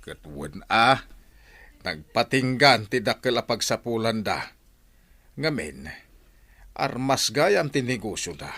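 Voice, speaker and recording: A man's voice: mid-range voice, big pitch swings, slow, pauses often, pushed and bright, no echo, heavily acted. A man playing a mild middle-aged village husband and father, talking earnestly in an audio drama. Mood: concerned. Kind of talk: radio drama dialogue.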